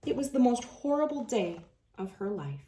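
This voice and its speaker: Mellow-voiced woman reading a storybook. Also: a little high, sing-song, normal speed, low-quality recording. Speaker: children's book reader. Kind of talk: read-aloud storybook narration.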